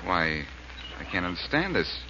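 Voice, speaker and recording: An actor playing a brisk, determined young detective hero in 1940s radio style. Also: male voice, deep voice, sing-song. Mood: earnest, puzzled. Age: young adult. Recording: muffled, noisy old radio recording.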